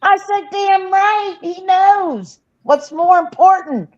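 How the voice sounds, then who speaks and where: Slow, high-pitched woman on dull stream audio. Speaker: livestream show participant. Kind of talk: casual chat, swearing.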